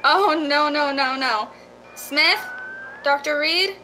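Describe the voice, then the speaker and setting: A woman's voice: high-pitched, slow pace, noisy background. A woman playing a chatty, folksy property seller in a radio drama, eager and persuasive. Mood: calling out, uneasy. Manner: eager, reassuring, folksy salesmanship.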